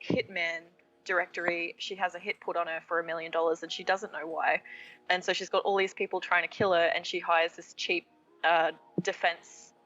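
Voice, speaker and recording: Female interviewer, relaxed conversational phrasing, somewhat low pitch with a crisp bright edge. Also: dull audio. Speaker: interviewer on a comics show.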